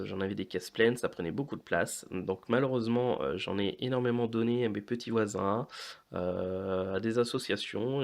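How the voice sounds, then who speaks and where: A man in his late thirties with a fairly low voice hosts an informal video. He speaks to viewers in a conversational way, hesitating often and filling his pauses as he searches for the next phrase. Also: creaky. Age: late 30s. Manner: hesitant, frequent long filler pauses. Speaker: YouTube host of a toy and model kit channel.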